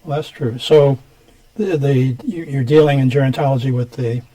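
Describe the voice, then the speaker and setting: A man's voice: medium pitch, moderate pace, dark tone, low-quality recording. A man hosting a community TV interview, asking rambling questions and backing the guest with frequent mm-hmms. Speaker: TV show host. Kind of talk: studio interview. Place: TV studio.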